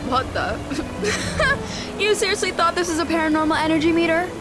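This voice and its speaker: A woman's voice: very high voice, animated, normal speed, loud music behind the voice. A woman playing a sassy, teasing, know-it-all teenage girl in an audio drama. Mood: smug, mocking.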